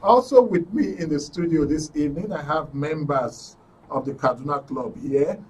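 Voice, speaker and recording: A man's voice: on the high side, normal speed, slightly rough. Nigerian man hosting, slightly distant mic. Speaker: host of a current affairs radio and TV programme. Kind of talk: live talk show presenting. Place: broadcast studio. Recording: noisy studio, distant mic.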